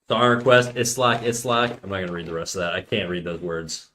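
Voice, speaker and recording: A man's voice: low-pitched, brisk, bright, forceful tone. A man of thirty chatting casually on a live stream, rambling in slang and reacting to chat messages. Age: 30s.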